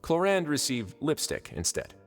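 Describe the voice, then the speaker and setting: An actor playing a wry, composed prison administrator in a video game, measured and courteous with dry sarcasm. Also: male voice, a little high, big pitch swings. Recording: long reverb, music behind.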